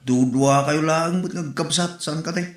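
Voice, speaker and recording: A man's voice: on the high side, moderate pace, close to an everyday voice. A man playing a disapproving, grumbling relative in an Ilocano comedy drama, with an Ilocano accent. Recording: roomy echo, faint music.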